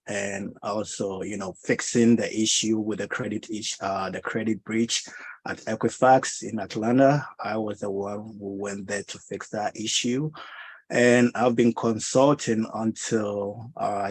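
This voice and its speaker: Low, even-toned Ghanaian man. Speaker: digital marketer and former IT consultant. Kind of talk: unscripted self-introduction in a meeting.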